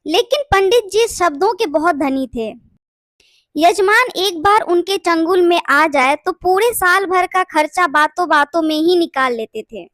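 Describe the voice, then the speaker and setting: A woman's voice: extremely high pitch, lively intonation, measured pace, a bit bright, no room sound, studio quality. A woman reading scripted narration for a children's bedtime story.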